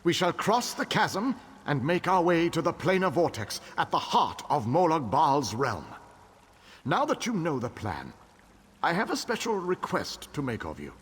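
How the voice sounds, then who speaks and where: In a long, ringing hall, a noble fantasy game king with a husky, higher-set voice. He speaks slowly and formally, solemn and gracious, with stately swells as he rallies allies. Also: very animated, fairly bright tone, heavily acted. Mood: gracious, resolute. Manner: stately, measured, formal oratory. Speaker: war leader king. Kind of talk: dramatic fantasy game dialogue.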